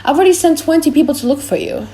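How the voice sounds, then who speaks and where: Impatient merchant gentleman, brisk delivery, raised high pitch, audiobook play female performer. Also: expressive. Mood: urgent. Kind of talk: audiobook drama reading.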